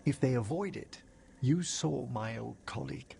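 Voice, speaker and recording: A man's voice: mid-range voice, normal speed, vocal fry, warm tone, a little hiss or hum. A man playing a courteous, reflective older necromancer scholar in a video game, with formal, theatrical, erudite phrasing. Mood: conflicted. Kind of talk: video game cutscene acting. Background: music.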